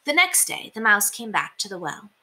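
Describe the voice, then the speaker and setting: A young woman reading a children's storybook aloud in an expressive, deliberate way. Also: medium pitch, slight background noise. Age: young adult. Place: small indoor room. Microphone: webcam at desk distance.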